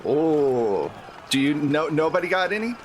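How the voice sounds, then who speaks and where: A man with a lightly raised pitch takes his time as he runs a tabletop roleplaying game. He narrates off the cuff and banters teasingly with the table. There is slight noise behind him. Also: sing-song, measured pace. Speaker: game master. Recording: faint background noise.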